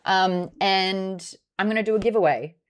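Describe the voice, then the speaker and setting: A woman's voice: mid-range voice, moderate pace, clear, resonant tone. A woman with an Australian accent hosting a casual book club livestream, teasing and sarcastic as she chats with viewers.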